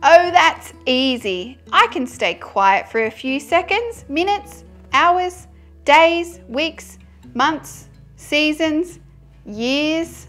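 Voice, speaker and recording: A woman's voice: high voice, expressive, very slow, fairly bright tone, faint music behind, pitched above the performer's own voice, exaggerated voice. A woman voicing a vain, chatty, melodramatic male tortoise in a storybook tale, with a wheedling manner. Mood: smugly eager. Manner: talkative, wheedling, dramatic.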